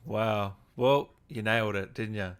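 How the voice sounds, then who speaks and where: A man's voice: fairly low pitch, measured pace, smooth. A man hosting a casual gaming interview, chatting informally and asking questions. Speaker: gaming podcast host, interviewer.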